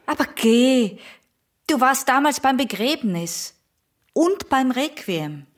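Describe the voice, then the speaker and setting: Slow, high-voiced Viennese woman, coaxing. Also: animated. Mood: gentle. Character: patient adult daughter, coaxing her mother.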